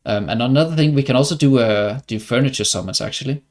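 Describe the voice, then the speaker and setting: A man's voice: mid-range voice, quick pace, a little mellow. A man from Denmark with a Danish accent, chatting casually and wryly as he comments on his livestreamed gameplay. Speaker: game streamer.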